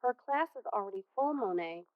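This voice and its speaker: A woman playing a patient, earnest American school counselor in a stage play, speaking in a measured, placating way. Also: medium pitch, animated, no room sound, phone-quality sound, close to an everyday voice. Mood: patient, reasoning.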